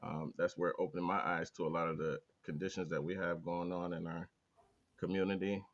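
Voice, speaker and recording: A man hosting a podcast, talking in a low-key, unhurried way with frequent filler words, with an American Midwest accent. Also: flat delivery, lo-fi sound. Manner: low-key, slow, hesitant with many "uh" fillers. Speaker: podcast host. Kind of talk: casual interview talk.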